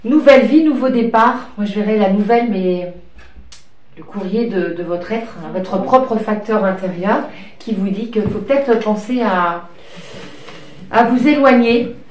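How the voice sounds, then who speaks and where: A woman's voice: mid-range voice, a little slow, a little mellow. A woman reading tarot cards in a rambling, hesitant way with many fillers, stuffy from a cold and coughing now and then, talking fondly to her cat and child. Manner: affectionate, talks to her pet and child. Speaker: tarot card reader. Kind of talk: casual card-reading chat. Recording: echoey room, distant mic, light noise.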